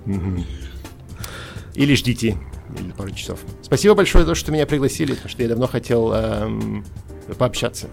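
A man in his forties from Russia, a podcast guest talking casually over a call, thoughtful and halting with many fillers and restarts. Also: mid-range voice, lively intonation, creaky, faint music behind. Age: 40s.

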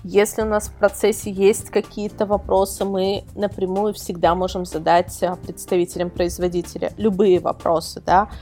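A woman's voice: medium pitch, flat delivery, moderate pace, few pauses, darkish tone, faint music behind. A woman speaking as an interview guest, explaining things in an informal, rambling way with emphatic repetition. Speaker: guest, website editor and product reviewer.

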